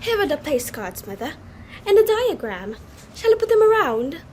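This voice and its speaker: Girl acting as shy reluctant daughter in a stage play, high swingy voice, kinda pleading, bit of room noise behind. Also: very animated, normal speed, a little mellow. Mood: dutiful. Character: timid, reluctant young unmarried society daughter. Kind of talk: dramatic play reading.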